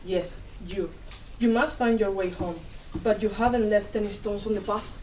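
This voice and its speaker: A woman playing a wise, nurturing Cuban elder in a dramatic play reading, speaking firmly and gently in riddles with Spanish endearments. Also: medium pitch, moderate pace, lightly acted. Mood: firm, consoling. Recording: distant mic, faint room noise.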